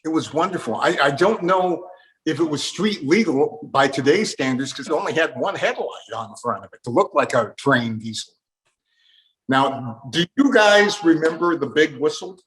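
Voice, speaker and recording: A man's voice: fairly high pitch, expressive, normal speed, a bit bright, low-quality recording. A man hosting a casual interview, reminiscing in an earnest, nostalgic way. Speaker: interview host.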